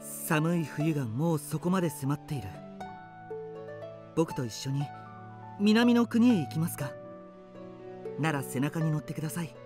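Kindly young swallow, eager, earnest, expressive, radio drama, clear music behind. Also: male voice, fairly high pitch, unhurried, long pauses. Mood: earnest, inviting. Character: kind, eager young male swallow, devoted friend.

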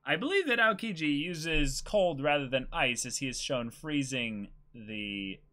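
A man's voice: on the high side, animated, a little slow, pushed and bright. A man in his twenties co-hosting a casual livestream, chatting and reading aloud. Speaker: co-host. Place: small indoor room.

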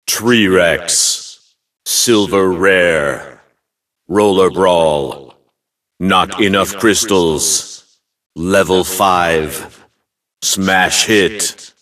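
A man's voice: sits low, a bit bright, recorded from a distance, full character voice. A man playing a solemn, commanding fantasy video game announcer, making clipped, declarative callouts.

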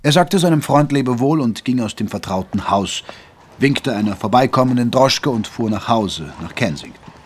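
A man's voice: medium pitch, expressive, moderate pace, slight background noise. A man narrating a German audio drama as a scripted storyteller.

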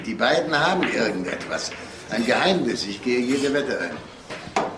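An actor playing a suspicious detective sidekick with a dry, sardonic way of talking in a crime radio drama. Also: male voice, medium pitch, sing-song, unhurried, background noise.

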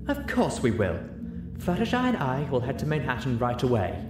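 Elegant unicorn mare for audiobook fiction, male performer, lifted swooping pitch, fragile composure, noisy track. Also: wildly swooping pitch, moderate pace. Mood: forced steadiness. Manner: choked up, forcing steadiness. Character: elegant female unicorn, distraught but forcing composure. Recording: roomy echo, audible noise, low rumble.

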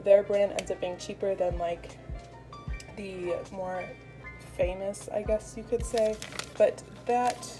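A woman's voice: mid-range voice, fairly even intonation, slow. A young American woman talking casually and chattily to viewers as she narrates a vlog. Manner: chatty, informal. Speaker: vlogger. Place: indoors in a home kitchen. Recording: music playing behind the voice. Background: music.

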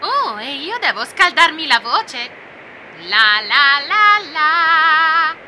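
A woman's voice: sits high, big pitch swings, drawn-out words, heavily acted. A woman voicing a chirpy female chicken animatronic singer who speaks in a sing-song way, in a noisy recording. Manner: sing-song, warming up her voice. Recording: loud background noise.

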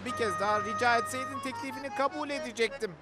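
A man's voice: extremely high pitch, fast. A man voicing a huffy, self-important talking vehicle in a children's cartoon dub, with music underneath.

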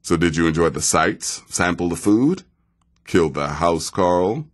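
An actor playing a seasoned, sardonic assassin in a video game, speaking in a measured, dry way with wry menace. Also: male voice, deep voice. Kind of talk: video game character dialogue.

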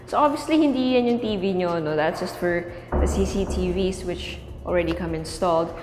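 A young woman with a Filipino accent guides listeners through an empty house on a clip-on mic. Her voice sits low and relaxed, and her narration stays chatty, slipping between languages mid-sentence. Faint music plays under her voice. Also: a little fast. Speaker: real estate tour host. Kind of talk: casual walkthrough narration. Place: indoors and outdoors in an empty house.

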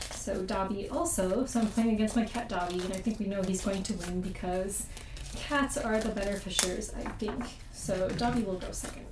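A young woman talks through a card game at her table at home, at an ordinary pitch, explaining each step and stopping to check rules. The sound is roomy and a little distant from the mic, with a faint hum behind her. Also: a little mellow. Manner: chatty and explanatory, often second-guessing the rules. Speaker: board game channel host. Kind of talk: casual board game playthrough. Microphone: desk USB mic with room echo. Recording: echoey room, mic set far off.

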